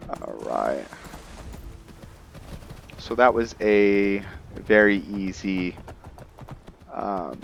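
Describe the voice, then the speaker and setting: A man giving casual commentary as he plays a roleplaying game, thinking aloud in a halting way. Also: lowish voice, big pitch swings, gravelly, a little hiss or hum. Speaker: gameplay host.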